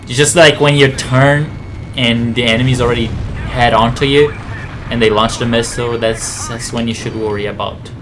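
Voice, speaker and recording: A man's voice: medium pitch, steady tone, normal speed, noisy background. A man giving casual, rambling, explanatory gameplay commentary on a video game. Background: game music and aircraft sounds.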